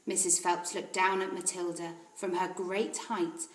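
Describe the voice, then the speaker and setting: A woman, a teacher reading a children's story aloud in an expressive narrating style. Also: lowish voice, measured pace. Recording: roomy echo.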